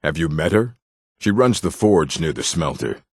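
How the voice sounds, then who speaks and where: A man voicing a gruff, proud orc warrior and smith in a fantasy video game, blunt and stern, with a gravelly, husky voice. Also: extremely low pitch, sing-song, normal speed, no room sound, clean studio sound, full character voice. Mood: gruff, matter-of-fact. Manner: blunt, stern, clipped phrasing.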